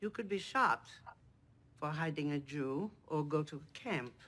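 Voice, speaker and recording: An actress with an Austrian accent playing an elderly woman who rescued people in wartime, speaking slowly and softly, humble and gentle. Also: low voice, animated, exaggerated voice. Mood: solemn.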